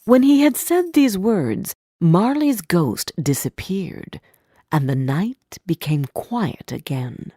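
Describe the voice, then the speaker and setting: A woman narrating a storybook for English learners in a deliberate, expressive manner. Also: lowish voice, breathy edge, no echo, studio quality.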